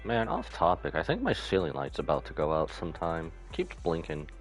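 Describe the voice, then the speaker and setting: Deep dude streaming a game, slangy, kinda skeptical, voice moves around a lot. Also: male voice, huge pitch swings, brisk, faint music behind, rough recording. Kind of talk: casual live game commentary, reading dialogue aloud.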